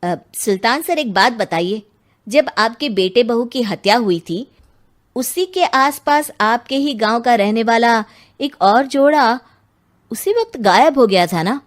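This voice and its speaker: A woman's voice: on the high side, lively intonation, measured pace. Woman voicing an earnest, formal police inspector. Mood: probing. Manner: questioning, formal, courteous. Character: earnest, businesslike male police inspector, persistent and courteous. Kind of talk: dramatized audio storytelling. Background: music.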